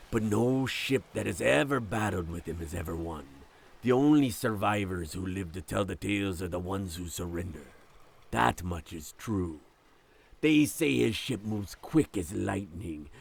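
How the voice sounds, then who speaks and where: Man playing a very gravelly, stern ship's captain. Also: low voice, exaggerated voice. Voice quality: gravelly, husky. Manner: grave, commanding. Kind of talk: audio drama acting.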